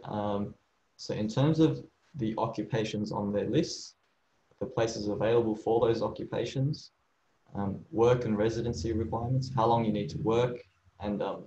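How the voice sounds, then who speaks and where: A man's voice: fairly low pitch, fairly even intonation, measured pace, mellow. Echoey man explaining visas, lots of "okay". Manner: casual, repeats "okay" and "um" often, restarts phrases. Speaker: migration agent. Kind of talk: explaining visa rules from slides. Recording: echoey room, slightly dull sound.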